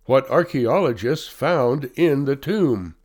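Volunteer reader, male, has a cold; slow, careful delivery for a reading service. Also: medium pitch, lively intonation, darkish tone. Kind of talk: reading articles aloud.

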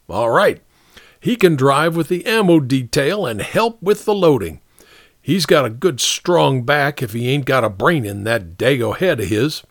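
Man acting out a high-strung, bullying army captain. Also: no echo, studio quality. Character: strict, bullying American army captain, short-tempered and proud. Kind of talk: audiobook character dialogue.

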